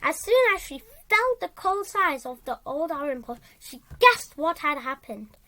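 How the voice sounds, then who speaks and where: A woman reading a children's story aloud in a halting way, repeating and correcting words as she goes. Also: high voice, sing-song, sharp tone. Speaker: storybook reader.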